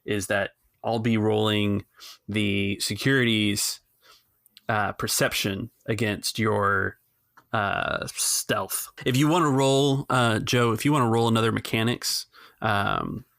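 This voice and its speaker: A man's voice: on the low side, fairly even intonation, takes it slowly, vocal fry, a bit bright, dry recording, clean studio sound. A man acting as game master, casually explaining rules and asking players questions.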